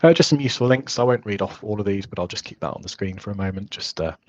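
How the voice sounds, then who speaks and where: A man in his thirties with a croaky, hoarse voice, giving a relaxed webinar presentation from a home office over a headset. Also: lowish voice, fast, dry recording, highs cut off. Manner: relaxed, informal, frequent fillers. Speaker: guest presenter.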